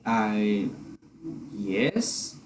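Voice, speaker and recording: A man's voice: on the low side, very slow, background noise. A man performing in a readers theater as a guarded husband, skeptical and questioning about a plan to save his wife. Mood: skeptical, resisting. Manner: doubtful, questioning.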